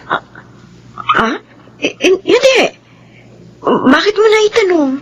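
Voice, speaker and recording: A Filipino actress playing a wronged, emotional wife in a radio melodrama, protesting with feeling. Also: female voice, extremely high pitch, sing-song, slow pace, slight background noise, full character voice. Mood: hurt, indignant. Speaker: radio drama actor.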